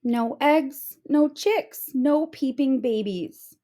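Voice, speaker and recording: A woman's voice: high voice, takes it slowly, mellow, voice pushed up. A woman voicing an anxious, motherly hen in a storybook, plaintive and exclaiming. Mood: wailing.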